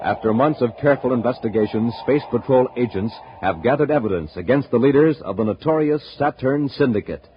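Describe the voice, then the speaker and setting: A man's voice: mid-range voice, animated, fairly quick, hardly any pauses. A man announcing and narrating a 1950s radio show in a dramatic, punchy scripted style. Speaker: announcer and pitchman. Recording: noisy, muffled 1950s radio recording.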